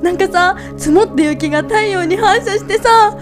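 A woman playing a sweet, excitable young woman in love in an amateur radio drama, gushing with wonder. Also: extremely high pitch, normal speed, over music, pitched above the performer's own voice. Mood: dreamy, delighted.

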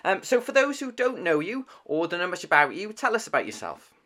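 A man's voice: sits high, wildly swooping pitch, brisk, pushed and bright. A man hosting an interview podcast, conversational and enthusiastic, introducing his guest and asking curious questions. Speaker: podcast host.

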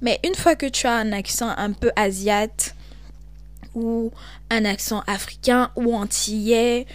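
A young woman from Cameroon with a Cameroonian French accent hosts a podcast, talking in an animated, emphatic way. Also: a little high, takes it slowly, dry recording. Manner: animated, emphatic, switches into English. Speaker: podcast host. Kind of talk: casual monologue.

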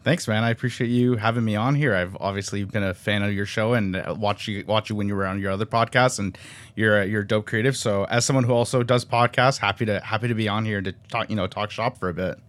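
A man in his twenties from Canada, a guest on a podcast, talking in a quick, chatty way with lots of fillers. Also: on the low side, steady tone, a bit bright. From Canada, raised near Toronto; born in Colombia. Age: 20s. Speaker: podcast guest, sports cinematographer. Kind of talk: casual interview chat.

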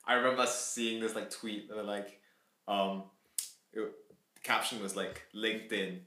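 Young man chatting haltingly in an echoey room. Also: fairly low pitch, fairly even intonation, pushed and bright. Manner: halting, many fillers and repeated words, laughs often. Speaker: podcast co-host. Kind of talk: casual chat.

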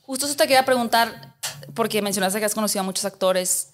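A woman's voice: mid-range voice, fast. A woman from Mexico with a Mexican accent, hosting an interview show in a conversational style.

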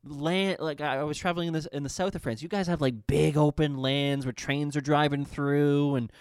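Male podcast host, smooth dry-recorded voice, enthusiastic run-on asides. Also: fairly high pitch, steady tone, moderate pace, no echo. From Canada. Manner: eager, rambling asides with repeated words. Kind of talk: casual podcast chat.

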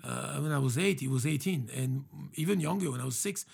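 Man, French accent, hesitant chat, gravelly, husky. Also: medium pitch, a little fast, darkish tone. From France. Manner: hesitant, many fillers and restarts. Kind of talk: casual interview chat.

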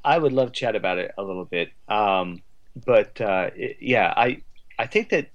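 A man with a fairly low voice speaks as a guest writer in a conversational interview. He thinks aloud, often halting midway through a thought, and takes his time wandering through examples. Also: lively intonation, muffled recording. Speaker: guest writer of a radio drama.

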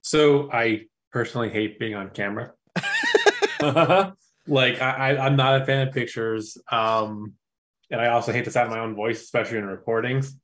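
Man, lively swooping voice, animated, laughs easily, casual chat. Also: medium pitch, moderate pace. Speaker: player and podcaster.

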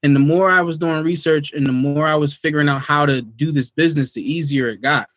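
An American man from New Jersey speaks as an interview guest, with a voice pitched a little high. He tells his story informally, with slang and frequent check-in phrases. The sound is very dry, with no room echo. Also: fairly even intonation, fairly quick, fairly bright tone. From United States, New Jersey. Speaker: podcast guest, real estate investor.

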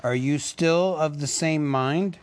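A man voicing a grave, steady leader who bargains calmly and firmly, in a storybook reading. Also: a little high, takes it slowly, voice pushed up. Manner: calm, firm.

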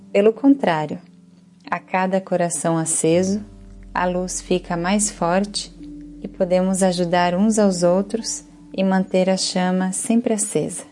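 A woman with a Brazilian Portuguese accent leads a guided yoga nidra relaxation as the instructor, speaking in a calm, soothing, unhurried way. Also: fairly low pitch.

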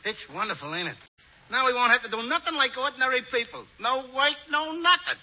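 A man playing a dim, lazy, excitable fellow with slangy, ungrammatical speech in a 1940s radio comedy. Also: extremely high pitch, brisk, heavily acted. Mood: excited, dreamy. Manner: slangy, ungrammatical, simple-minded. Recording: muffled 1940s radio broadcast.

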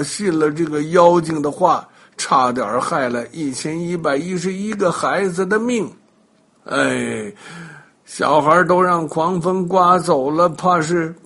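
A man voicing a gullible, foolish king who talks low and drawn out. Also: takes it slowly, fairly bright tone, heavily acted. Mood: ashamed.

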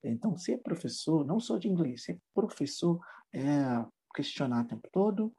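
Male teacher, mellow husky voice, halting conversational delivery. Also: on the high side, very animated. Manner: hesitant, many fillers and restarts. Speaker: English teacher. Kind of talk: casual interview talk.

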